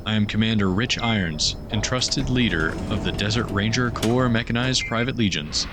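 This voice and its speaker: Man playing a low-voiced commander, noisy background. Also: normal speed, close to an everyday voice. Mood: grave, courteous. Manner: formal, commanding. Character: gallant, resolute military commander of desert mech legion. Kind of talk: dramatized fantasy audio story. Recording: loud mechanical rumble behind.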